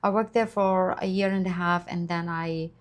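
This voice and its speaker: A middle-aged woman from Nepal speaks in a low voice that stays flat and level. She tells her story in an earnest, grateful, measured way, like a guest in an interview. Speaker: nurse practitioner guest. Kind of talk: interview answers, life story.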